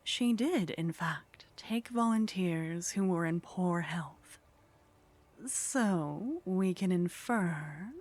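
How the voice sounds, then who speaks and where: A woman playing a teasing, condescending female vampire in a soft ASMR roleplay, languid and haughty, often slipping into whispers. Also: on the low side, drawn-out words. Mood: coolly explaining. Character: teasing, condescending female vampire, sly, centuries-worldly contact.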